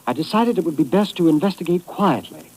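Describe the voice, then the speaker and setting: A man's voice: on the high side, expressive, fairly quick, darkish tone, breathy edge, low-quality recording. An actor playing a nervous American man who stammers while telling a government agent about a threat, in a 1950s radio drama. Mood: anxious. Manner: stammering, anxious.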